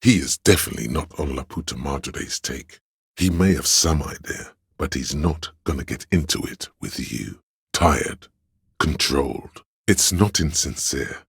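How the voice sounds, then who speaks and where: A male actor voicing a servile, courtly and suspicious adviser in a video game, speaking in a grandiose, measured, theatrical way with a gravelly, husky voice. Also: extremely low pitch, lively intonation, no echo, clean studio sound, heavily acted. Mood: grave, insistent. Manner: grandiose, measured, addressing listener as sire. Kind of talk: theatrical game character voice lines.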